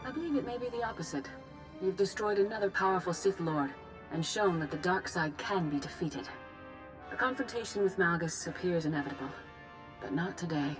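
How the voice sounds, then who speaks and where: An actress playing a wise, composed leader of an order of knights in a video game, measured and formal. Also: female voice, on the low side, animated, over music, lightly acted. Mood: composed, solemn. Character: composed, wise female Jedi master and leader. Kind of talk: video game cutscene acting.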